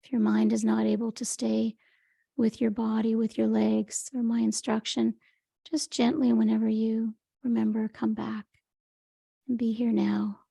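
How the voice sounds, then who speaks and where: Soft, creaky woman guiding meditation. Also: fairly high pitch, level tone, no room sound. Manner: gentle, unhurried, soothing instruction. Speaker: meditation teacher. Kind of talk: guided meditation, Yoga Nidra.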